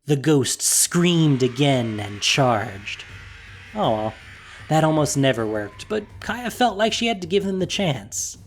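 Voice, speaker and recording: Unhurried, expressive male story narrator. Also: on the high side, soft background music. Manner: measured, expressive reading.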